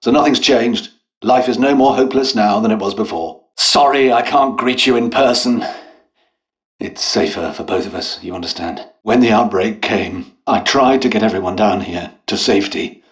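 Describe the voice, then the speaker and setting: An actor playing a polite, eccentric British doctor and scientist in a video game, with refined, hesitant, apologetic and understated speech. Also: male voice, fairly low pitch, normal speed, close to an everyday voice. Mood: sombre, explaining. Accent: British English. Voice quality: refined, well-spoken. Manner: courteous, hesitant, understated, chatty.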